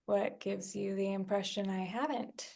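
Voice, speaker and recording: Female dancer under questioning, read by an actress: heavy vocal fry, guarded, conversational, indie film drama. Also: mid-range voice, fairly even intonation, unhurried, a little mellow. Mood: wry, guarded. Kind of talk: horror film script table read.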